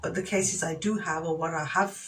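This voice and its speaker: A woman's voice: sits low, steady tone, normal speed, a bit bright, slight background noise. A woman, a guest lawyer on a radio discussion, explaining things earnestly and firmly.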